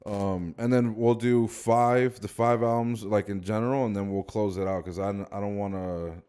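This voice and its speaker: A man in his late twenties hosting a casual podcast, eager and quick to jump in with questions and reactions. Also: fairly low pitch, steady tone, warm tone, clear, resonant tone. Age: late 20s. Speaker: podcast host.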